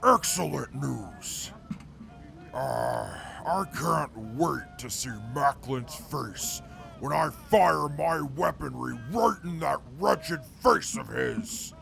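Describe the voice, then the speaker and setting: Man voicing a gravelly, slow-spoken reformed mutant soldier. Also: a little high, lively intonation, fairly bright tone, faint music behind, exaggerated voice. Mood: eager. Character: reformed male mutant soldier, formal and intelligent. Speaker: former enemy scout now with the crew. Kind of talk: audio drama acting.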